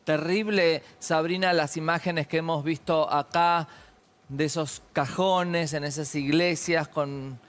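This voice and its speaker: A man with an Argentine accent commenting on an interview panel in a grave, halting, conversational way. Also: a little high, steady tone, fairly bright tone.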